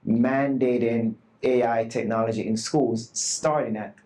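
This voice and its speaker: A man's voice: medium pitch, fairly even intonation, unhurried, mellow. A man giving a live conference talk to a room of educators, earnest and emphatic, with self-deprecating asides. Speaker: conference presenter. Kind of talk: live summit talk to an audience. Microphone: not loud at first, asked to speak louder.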